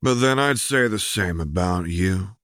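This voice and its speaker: A man voicing a tough, cynical older female soldier with a raspy, husky voice in an audiobook. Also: low-pitched, lively intonation, measured pace, a bit bright, no room sound, studio quality. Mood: dry.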